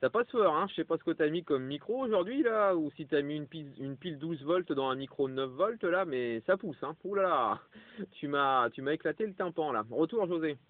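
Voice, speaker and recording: A man's voice: a little high, expressive, brisk, a little hiss or hum. A man with a French accent chatting casually as a radio operator, in colloquial phrases dotted with interjections. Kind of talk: casual two-way radio chat. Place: parked vehicle. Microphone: radio microphone. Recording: dry, phone-like two-way radio transmission.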